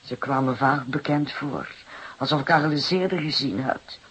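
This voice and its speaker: Brief: worn, aggrieved working-class mother for radio drama, very husky and breathy, plaintive, hesitant delivery. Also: mid-range voice, moderate pace, a bit bright, rough recording. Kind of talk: Dutch radio drama acting.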